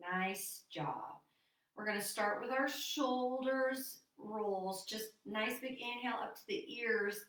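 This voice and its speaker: A woman fitness instructor leading a seated online exercise class, chatty with fillers, then giving calm breathing cues. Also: medium pitch. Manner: chatty and apologetic, with many fillers. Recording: roomy echo, distant from mic.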